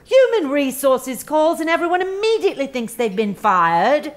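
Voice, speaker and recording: An actress playing a relentlessly upbeat, sanctimonious human resources manager in a stage comedy, gushing with earnest, drawn-out emphasis. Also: female voice, high-pitched, very animated. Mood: bright, eager. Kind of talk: comedic stage play acting. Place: theatre stage before a live audience.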